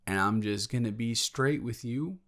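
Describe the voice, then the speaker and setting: A man playing a sheepish, protective best friend in an improvised audio roleplay, talking in a halting, rambling, awkwardly candid way. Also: medium pitch, a bit bright, natural voice. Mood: reluctant, disapproving. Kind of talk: improvised audio roleplay, intimate conversational monologue.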